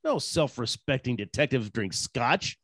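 A man's voice: medium pitch, sing-song, measured pace, fairly bright tone, husky, dry recording, clean studio sound, voice pushed down, exaggerated voice. A man playing a brash, wisecracking hard-boiled private detective in 1940s radio style, theatrical and sardonic. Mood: dry, irritated.

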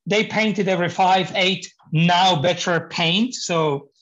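Halting Polish-accented man, lo-fi sound. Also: sits high, steady tone, a bit bright. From Poland. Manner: halting, searches for words, laughs often. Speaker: guest, painting contractor. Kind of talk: interview conversation.

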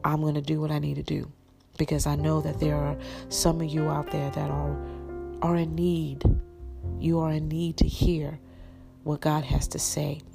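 Raspy low woman preaching over music. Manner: earnest, repetitive preaching cadence. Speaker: podcast minister. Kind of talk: encouraging sermon and prayer. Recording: music playing behind the voice.

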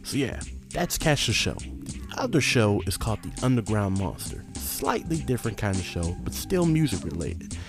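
A man speaking as a podcast host, making a casual promotional pitch to listeners. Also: sing-song, normal speed. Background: music.

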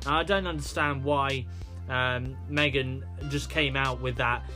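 A man's voice: medium pitch, steady tone, unhurried, bright, forceful tone, faint music behind. A British man hosting a solo podcast, rambling with many fillers and restarts. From United Kingdom. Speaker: podcast host.

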